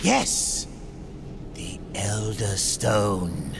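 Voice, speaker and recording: A man's voice: on the low side, sing-song, drawn-out words, gravelly, noisy background, heavily acted. An actor playing a creepy, scheming demon merchant in a game, theatrical and gloating, drawing out his words. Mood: scheming, excited. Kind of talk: video game cutscene acting.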